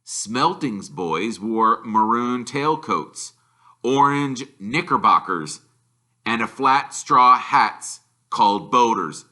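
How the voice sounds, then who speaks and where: A man reads a storybook aloud like a teacher to a class. His voice is very bright and forceful, with lively swings in pitch. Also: takes it slowly. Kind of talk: animated storybook narration.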